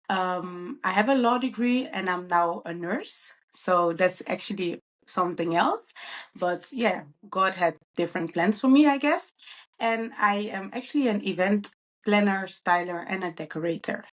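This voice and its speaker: A woman's voice: medium pitch, normal speed. A bubbly woman. She answers interview questions casually, with frequent ums and uhs. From Netherlands. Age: 20s. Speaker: guest, event planner.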